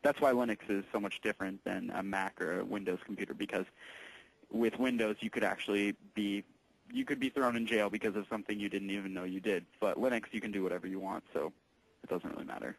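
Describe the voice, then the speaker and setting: A man working as a tech support employee on a call, explaining things patiently in a halting, informal way. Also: lowish voice, steady tone, poor audio. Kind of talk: casual explanatory phone conversation.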